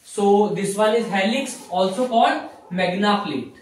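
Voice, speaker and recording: A man's voice: sits high, a little slow. A young man teaching in lecture style, repeating key phrases for emphasis and often saying okay. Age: young adult. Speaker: physiotherapy teacher. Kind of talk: classroom-style lecture at a whiteboard. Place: small bare indoor room with whiteboard. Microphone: camera mic a few steps away. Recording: very echoey room, distant microphone.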